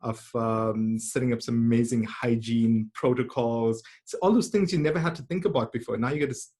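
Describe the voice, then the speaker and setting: A man's voice: mid-range voice, a little fast, darkish tone, breathy edge. A man hosting a webinar interview, asking friendly questions and often repeating his words. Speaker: webinar host. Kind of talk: casual interview. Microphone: video call.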